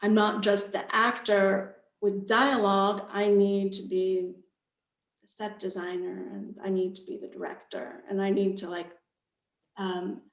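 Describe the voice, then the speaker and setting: Slow, halting woman in an echoey room. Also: mid-range voice, little pitch movement. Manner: halting, thoughtful, pauses mid-phrase. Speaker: author on a panel, with theater background. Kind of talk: reflective interview answer. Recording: roomy, echoing sound.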